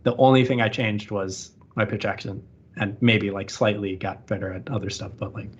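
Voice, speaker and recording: A man's voice: lowish voice, fairly even intonation, normal speed, husky. A man being interviewed on a podcast, chatting casually and rambling with lots of "like" fillers. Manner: rambling, heavy use of "like" fillers. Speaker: interview guest. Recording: muffled lo-fi audio.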